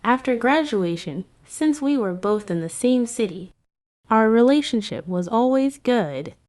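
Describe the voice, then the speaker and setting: A woman's voice: fairly high pitch, expressive, a little slow, darkish tone, no room sound. A woman voicing a heartbroken young office worker who narrates her breakup in a romance comic dub. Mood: wistful. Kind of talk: scripted first-person comic dub narration. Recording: music behind the voice.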